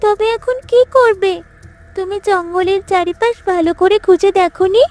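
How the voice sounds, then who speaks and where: A woman's voice: very high-pitched, unhurried, darkish tone. A woman voicing a confident, curious female jungle animal in a cartoon, bold and coaxing in manner. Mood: curious, concerned. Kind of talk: cartoon story voice acting.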